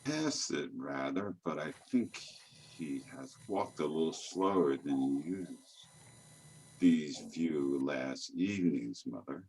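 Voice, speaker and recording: A man's voice: rumbling low, expressive, slow, darkish tone, husky, exaggerated voice. A man reading the part of a grieving son in a poor Victorian family, hesitant and subdued, in a story. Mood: subdued, sad. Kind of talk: dramatic reading of a classic story.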